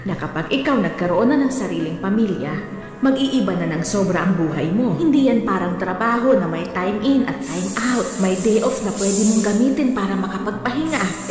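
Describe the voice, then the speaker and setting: An actress as a stern mother in middle age, giving a preachy scolding in radio drama style. Her pitch is average. The voice rings with heavy reverb over faint music. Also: close to an everyday voice. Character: stern, admonishing middle-aged mother of a grown son. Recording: very echoey, reverberant, music behind.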